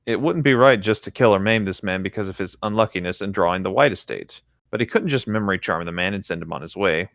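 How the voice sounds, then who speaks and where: Man, lowish voice, close dry recording, story narration, read-aloud co-host. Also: quick pace, few pauses, a bit bright, no echo. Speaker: co-host reading fan fiction aloud.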